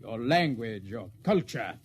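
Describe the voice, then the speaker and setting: An American actor playing a cool lieutenant who is secretly an alien agent, speaking formally and measuredly in 1950s radio drama style. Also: male voice, medium pitch, big pitch swings, measured pace. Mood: cold, defiant. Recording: hissy 1950s radio broadcast recording.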